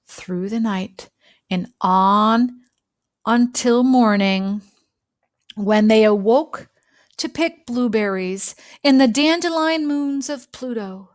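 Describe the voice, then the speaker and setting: A woman reading a children's picture book aloud with expressive, dramatic phrasing and deliberate pauses. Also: mid-range voice, dry recording, studio quality. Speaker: storybook reader.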